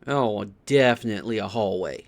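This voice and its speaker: A man's voice: on the low side, expressive, moderate pace, creaky. A man doing casual game stream commentary, thinking aloud and often repeating words as he puzzles things out.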